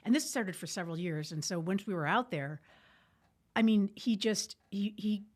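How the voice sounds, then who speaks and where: A woman's voice: medium pitch, moderate pace. A woman telling a story informally as a podcast guest, candid and colloquial, with military jargon.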